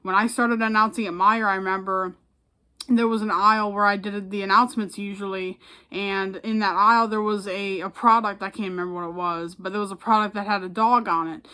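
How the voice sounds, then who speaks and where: Casting: American woman, mid-pitched, informal vlog chat, rambling and self-effacing. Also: fairly even intonation, fairly quick, sharp tone. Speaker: public address announcer and vlogger. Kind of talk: casual chat to camera.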